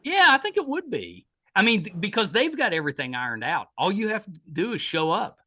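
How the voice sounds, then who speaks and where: A man's voice: high-pitched, huge pitch swings, brisk, bright, forceful tone. A man hosting a podcast interview, chatty and enthusiastic, often restarting his sentences. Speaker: podcast host. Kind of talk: casual interview chat.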